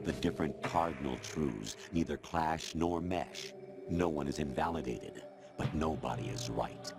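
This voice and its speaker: An extremely low male voice speaks as a cold, inhuman intelligence in a game cutscene. The delivery is measured and authoritative, like a lecture, with loud music underneath. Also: vocal fry, heavily acted. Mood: cold, lecturing. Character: formless male artificial intelligence posing as colonel, coldly lecturing. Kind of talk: video game cutscene acting.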